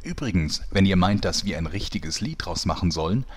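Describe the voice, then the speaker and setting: Deep-voiced male comedy narrator. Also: animated, a little fast, slightly rough. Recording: light background noise.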